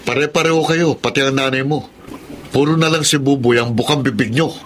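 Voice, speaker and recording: An actor playing a kind-hearted middle-aged father who talks in a calm, steady, firm way. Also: medium pitch, a little fast, a little hiss or hum, lightly acted. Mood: exasperated. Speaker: radio drama actor. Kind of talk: radio drama acting.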